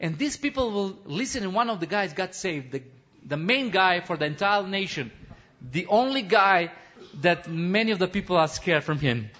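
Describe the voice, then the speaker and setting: A man preaching as a missionary pastor, his high, bright voice swelling into fervent shouts. His English is non-native, full of restarts and broken phrasing. The recording sounds dull and lo-fi. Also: expressive, moderate pace. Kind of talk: impassioned sermon testimony.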